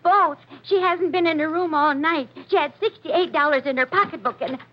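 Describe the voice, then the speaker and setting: A woman's voice: high voice, lightly acted. An actress playing an anxious, hesitant rooming-house landlady in a 1940s radio mystery. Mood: agitated, urgent. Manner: nervous, hesitant, halting. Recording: muffled, noisy 1940s radio recording.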